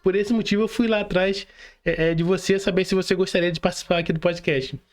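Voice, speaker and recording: A man's voice: high-pitched, steady tone, fast. A man with a Brazilian accent hosting a conversational podcast interview, informal and slangy as he addresses guest and audience. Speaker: podcast host.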